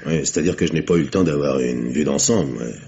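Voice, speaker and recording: An actor playing an eager, deferential police assistant in a 1950s-style French radio drama. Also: male voice, very deep voice, fast talker, creaky, mellow, a little hiss or hum. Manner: deferential and chatty. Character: eager, superstitious young male police assistant. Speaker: police inspector. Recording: dull old lo-fi radio recording.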